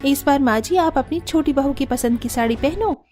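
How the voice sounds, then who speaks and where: A woman voicing a sharp-tongued young sister-in-law in a cartoon story, quick to argue. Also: high-pitched, lightly acted. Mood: pleading. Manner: quick, argumentative, sulky. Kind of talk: cartoon voice acting.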